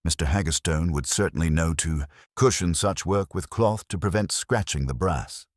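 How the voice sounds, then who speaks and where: A man voicing a mild, shrewd police inspector in a mystery story, formal and quietly ironic. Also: low voice, moderate pace, dry recording, clean studio sound, lightly acted. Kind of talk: storybook mystery character dialogue.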